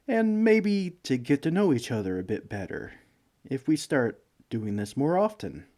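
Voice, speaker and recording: A man's voice: mid-range voice, very animated, unhurried, warm tone. A man playing a broke, bumbling young villain neighbor in a comedic audio roleplay, rambling sheepishly and hesitantly as he talks to one listener. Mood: awkward, sheepish. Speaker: roleplay voice actor.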